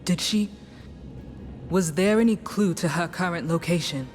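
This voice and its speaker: A woman playing a stern, proud rebel leader in a fantasy game, deliberate and authoritative. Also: on the low side, close to an everyday voice. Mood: skeptical. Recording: loud music behind.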